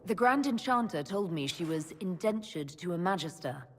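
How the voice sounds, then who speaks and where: An actress playing a composed heroine in a video game, speaking in a direct, questioning way. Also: female voice, lowish voice, expressive, normal speed, husky. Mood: probing, calm. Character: composed, direct young heroine leading negotiations. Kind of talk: video game cutscene acting. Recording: reverberant hall sound.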